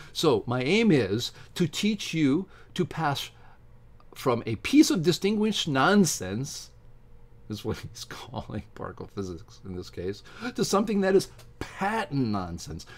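An older man hosts an informal commentary video. His voice sits at an average pitch. He reads passages aloud and breaks off for emphatic, animated asides of his own. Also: a little slow. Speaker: video host and science commentator. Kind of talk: informal commentary while reading aloud from a book. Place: indoors, home study with bookshelves.

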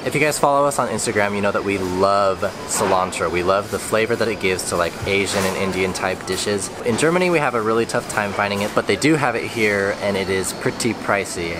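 A young man filming himself at arm's length in a supermarket, explaining grocery prices in a relaxed, chatty way. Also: lowish voice. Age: young adult. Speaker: travel vlogger. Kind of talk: casual vlog commentary. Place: large indoor supermarket. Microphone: selfie camera at arm's length. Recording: store noise and air conditioning.

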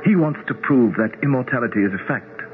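An actor playing a lovelorn immortal prince in a radio drama, earnest and pleading. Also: male voice, medium pitch, lively intonation, fairly quick, a little hiss or hum, close to an everyday voice. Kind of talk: 1970s radio drama acting. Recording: lo-fi radio broadcast with music.

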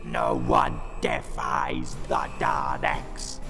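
A man's voice: on the high side, flat delivery, drawn-out words, vocal fry, raised voice, over music, voice pushed up, heavily acted. A man voicing a menacing, fanatical robotic alien with staccato barking delivery and robotic voice modulation. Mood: aggressive.

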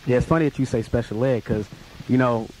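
Mellow, fast-talking teenage man. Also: mid-range voice, low-quality recording. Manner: slangy, agreeable. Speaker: radio co-host interviewer. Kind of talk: casual interview chat. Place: small radio studio. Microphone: studio boom mic. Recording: hissy college radio recording.

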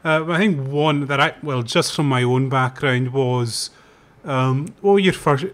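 A man hosting an interview, asking gentle, hesitant questions with filler words. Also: mid-range voice, unhurried. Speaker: show host.

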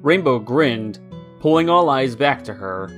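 A man's voice: mid-range voice, animated, measured pace. A man reading scripted story narration as the narrator. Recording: guitar music behind the voice. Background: soft guitar music.

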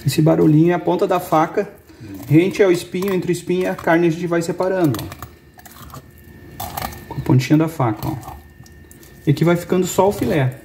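A man in his forties gives a calm, patient cooking demonstration in a home kitchen, walking through each cut. His voice sits high for a man. A faint hiss runs under the recording from the camera mic. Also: a little fast, gravelly, long pauses. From Brazil. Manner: calm step-by-step explaining, pointing things out. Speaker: fishing channel host. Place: indoor kitchen with brick and wood walls.

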